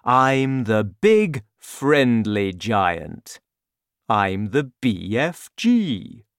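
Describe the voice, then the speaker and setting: A man voicing a huge, gentle giant in a storybook reading, speaking slowly and loudly. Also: very animated, no room sound, clean studio sound, full character voice. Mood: booming. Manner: slow, booming, deliberate.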